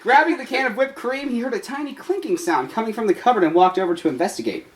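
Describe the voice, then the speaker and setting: A woman's voice: a little high, expressive, quick pace, slight background noise. A woman reading a comic story live as part of a comedy troupe, a little tipsy and stumbling over words. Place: live show in a room.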